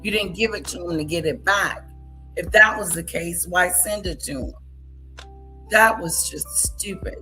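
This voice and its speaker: Woman, reaction commentator, blunt, bright sharp voice, slow pace, repeats points, faint music behind. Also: fairly low pitch. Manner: blunt, repetitive, mimics others' words. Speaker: commentary host. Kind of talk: reaction commentary on played clips.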